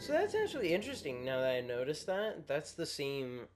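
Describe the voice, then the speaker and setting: A young man with a shrill voice, chatting loosely as he plays a video game, rambling with lots of fillers and restarts into a USB microphone. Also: wildly swooping pitch, fairly quick. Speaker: gameplay commentator. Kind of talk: casual let's-play chat. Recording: loud game music behind.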